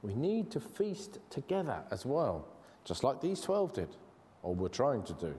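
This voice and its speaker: An English man delivers a sermon in a church in a middle-pitched voice. He speaks earnestly and conversationally, restarting phrases and slowing down to stress key words. A long reverb from the hall trails each phrase. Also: huge pitch swings, dark tone, a little hiss or hum. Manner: earnest, conversational, with frequent restarts and slow emphasis. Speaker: preacher.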